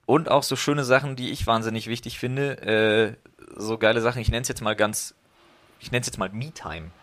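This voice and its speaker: A man's voice: mid-range voice, normal speed, fairly bright tone. A man co-hosting a casual podcast chat, talking bluntly with some swearing and acting out the things people say to him. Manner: blunt, swears freely, acts out quoted exchanges. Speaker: podcast co-host.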